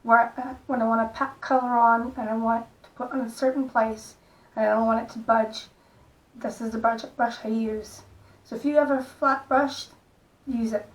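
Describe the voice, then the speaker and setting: A middle-aged woman with a croaky voice, gently talking through a makeup tutorial in a soft, unhurried way. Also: on the high side, fairly even intonation, poor audio. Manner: soft-spoken, unhurried, stops and restarts gently. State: hoarse, croaky voice. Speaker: makeup vlogger. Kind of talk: casual makeup tutorial talk.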